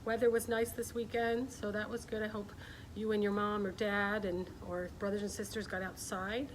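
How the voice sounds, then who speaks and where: Chatty woman over clear background noise. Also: mid-range voice, flat delivery, moderate pace, poor audio. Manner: chatty, informal, often checking in with young listeners. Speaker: coach hosting a children's story time. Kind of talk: casual livestream chat and picture-book read-aloud.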